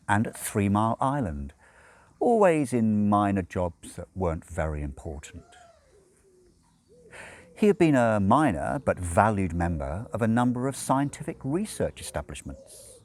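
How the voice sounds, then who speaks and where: A man reading a novel aloud as expressive narration, with measured, dramatic phrasing and deliberate pauses. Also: on the low side, no echo. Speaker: audiobook reader.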